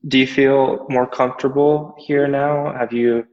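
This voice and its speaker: A man's voice: mid-range voice, level tone, unhurried, a little mellow. Male interviewer in a reverberant room. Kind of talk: casual interview questions. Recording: dull sound with long reverb.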